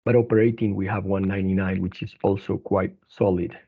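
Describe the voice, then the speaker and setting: Low-voiced man on a tinny phone line. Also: little pitch movement, husky. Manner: halting, hesitant delivery with repeated restarts. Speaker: company executive presenting financial results. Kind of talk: earnings call presentation. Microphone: telephone conference line. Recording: narrow, tinny phone-line conference call.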